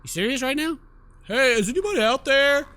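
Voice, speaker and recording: A man's voice: extremely high pitch, animated, a little fast, bright, forceful tone. A man doing playful, theatrical let's play commentary, exclaiming and reading game text aloud into a close mic. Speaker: gaming video host. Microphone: close microphone at a desk. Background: faint game music.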